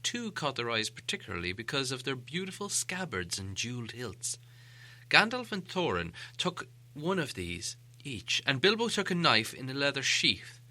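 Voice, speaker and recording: A man narrating an audiobook tale in an expressive, scripted storytelling style. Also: medium pitch, moderate pace.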